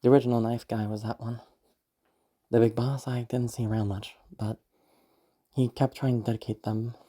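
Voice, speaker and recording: A woman playing a detached, eerily calm sociopath who recounts the past in a halting, musing monologue with a husky, creaky voice. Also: rumbling low. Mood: detached reminiscing. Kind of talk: first-person audio roleplay monologue.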